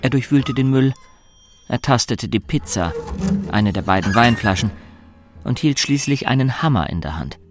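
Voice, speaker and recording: A man's voice: mid-range voice, animated, normal speed, over music, close to an everyday voice. A man reading scripted literary narration as the sober storyteller of a radio drama. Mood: sober, reflective. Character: sober male third-person storyteller.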